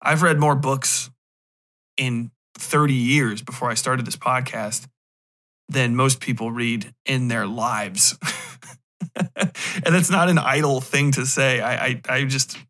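A man's voice: mid-range voice, fairly even intonation, takes it slowly, a bit bright. A man with a mid-range voice hosts a solo podcast. He talks casually and loosely, often interrupting his own thoughts with wry asides. His language is informal and occasionally profane. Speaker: podcast host.

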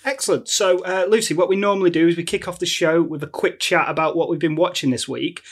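A man's voice: fairly high pitch, fairly even intonation, quick pace, slightly rough. Dry-witted man hosting a podcast. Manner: dry, jokey, sarcastic. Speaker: podcast host. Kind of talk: casual chat with scripted intro.

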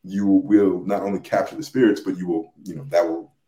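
A man explaining things in a casual podcast discussion, hesitant and halting, often repeating his phrases. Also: low voice, fairly even intonation, mellow, echoey room, rough recording.

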